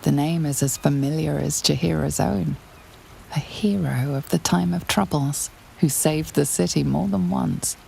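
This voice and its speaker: A solemn female narrator, low and very creaky, tells a fantasy game tale. Her delivery is hushed, intimate and mysterious. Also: unhurried, slight background noise, full character voice.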